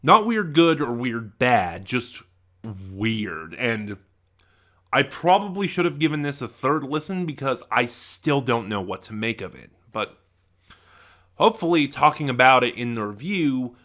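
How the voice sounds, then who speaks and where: Male reviewer, bright forceful tone, lively swinging pitch, informal and rambling, often restarts with emphatic stresses. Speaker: audio drama reviewer. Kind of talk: casual rambling review monologue.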